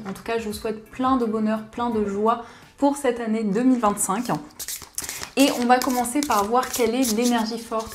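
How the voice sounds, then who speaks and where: A woman giving a gentle, soothing daily card reading, slightly hoarse from a cold. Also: fairly high pitch, a little hiss or hum. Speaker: card reader.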